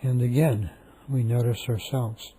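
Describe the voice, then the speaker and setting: A man leading a guided meditation, speaking calmly and softly with long, repetitive pauses. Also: medium pitch, breathy edge, low-quality recording.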